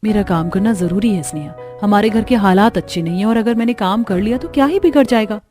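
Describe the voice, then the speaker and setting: A woman voicing a gentle, hardworking elder daughter-in-law in a cartoon story, earnest and soft-spoken. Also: medium pitch, a little fast, soft background music, lightly acted. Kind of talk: Hindi cartoon story dialogue.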